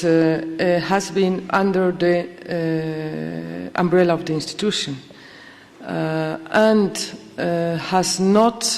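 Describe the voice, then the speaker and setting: Halting woman in a reverberant hall. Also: lowish voice, creaky, a little mellow. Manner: hesitant, many fillers and restarts. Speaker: conference speaker. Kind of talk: halting conference discussion. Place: conference hall.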